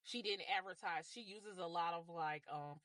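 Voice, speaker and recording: Woman, slightly low pitch, dry close recording, measured personal storytelling. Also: fairly bright tone, no room sound. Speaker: customer telling a salon story. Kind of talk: measured complaint storytelling to camera.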